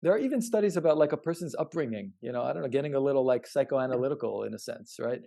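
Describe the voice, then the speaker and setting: A podcast co-host in casual conversation, reasoning aloud in a halting way with hedges and repeated words. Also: mid-range voice, lively intonation, vocal fry, mellow. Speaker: podcast co-host discussing own research.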